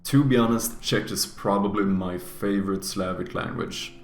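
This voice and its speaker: A man's voice: lowish voice, normal speed, echoey room. A young man with a Swedish accent, a native Swedish speaker, giving casual, joking tier-list commentary with teasing, mock-harsh asides. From Sweden. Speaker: YouTuber.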